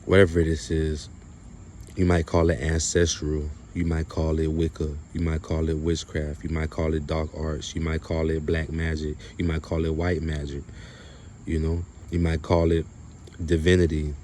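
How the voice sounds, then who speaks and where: Low, monotone man speaking haltingly. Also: a little hiss or hum. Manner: halting, emphatic, repetitive phrasing. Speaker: spiritual video creator. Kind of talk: unscripted spiritual monologue to viewers.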